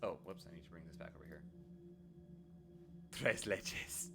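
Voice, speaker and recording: A man hosting a live stream, chatting playfully with sudden excited jumps in his voice. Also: low-pitched, normal speed, very noisy. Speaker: livestream host. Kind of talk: casual live stream chat.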